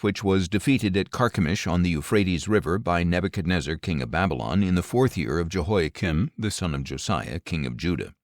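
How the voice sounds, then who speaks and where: A man narrating scripture as an audiobook, reading from the text in a solemn, measured way with deliberate, clearly enunciated phrasing. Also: low voice, no room sound, clean studio sound.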